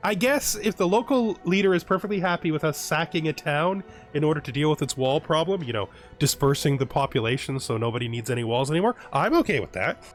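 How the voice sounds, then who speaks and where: A man's voice: on the high side, expressive, quick pace, hardly any pauses, faint music behind. A man hosting a strategy game let's play, giving dramatic readings of the game's text and then breaking into casual asides. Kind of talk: game roleplay commentary.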